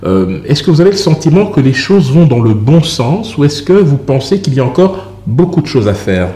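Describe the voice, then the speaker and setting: A man hosting a television interview, putting polite, wordy questions to a guest in a measured, formal style. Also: fairly high pitch, very animated, dark tone. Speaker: TV interview host. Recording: roomy echo, faint background noise.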